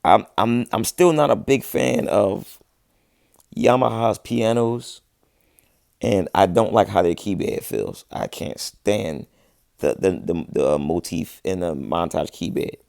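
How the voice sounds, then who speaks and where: A laid-back man in his thirties, hosting a casual livestream and answering viewers in relaxed slang. His voice sits low and gravelly and is wearing thin, as he says he is losing it. The sound is close and dry, with no room echo. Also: takes it slowly. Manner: laid-back slangy talk, frequent restarts. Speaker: livestream host, musician.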